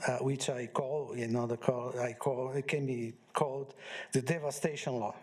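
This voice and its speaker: A man from Brazil, a law professor on a conference panel, speaking in a measured way with pauses and fillers. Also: mid-range voice, fairly even intonation. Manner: measured, pausing, frequent fillers.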